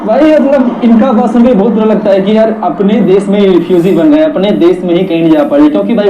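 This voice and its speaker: A man in his twenties with an Indian accent, chatting casually as a travel vlogger who walks outdoors, mixing in broken English and calling viewers brother. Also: high voice. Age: 20s. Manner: chatty, says "bhai" often, switches between Hindi and broken English. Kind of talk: casual travel vlog narration. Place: outdoors in streets. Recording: echoey, distant, dull with faint noise.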